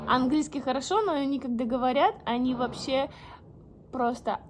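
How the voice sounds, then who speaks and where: A young woman whose first language is Russian, reading an English book aloud haltingly and stopping to puzzle over words. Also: high voice, noisy background. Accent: Russian, reading English with a slight American tinge. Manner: slow, halting reading with restarts and puzzled asides. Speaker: vlogger.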